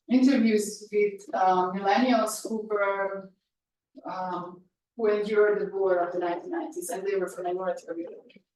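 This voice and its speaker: A woman's voice: medium pitch, a little mellow. A woman who grew up speaking Hungarian gives an academic talk, hesitant and full of fillers. She sounds far from the mic in a very echoey room. A faint hiss sits underneath. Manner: hesitant, many fillers and restarts. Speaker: research presenter.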